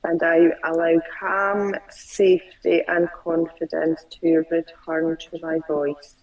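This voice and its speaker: A woman client who stutters, slowly repeating phrases after her coach over a video call. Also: sits low, flat delivery, a little mellow, recorded from a distance, rough recording.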